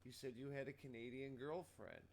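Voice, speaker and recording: A man's voice: medium pitch, fairly even intonation, fairly quick, darkish tone. A man hosting a casual live-stream movie night, bantering and teasing his guest.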